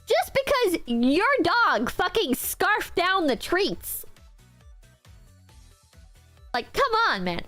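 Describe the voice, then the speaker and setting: A woman streamer with a nasal, stuffy-nosed voice and a sore throat from a cold, chatting excitably and yelling and swearing in bursts. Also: very high-pitched, very slow, pauses often, dry recording, faint music behind. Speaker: streamer reading out online reviews. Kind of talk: casual livestream chat.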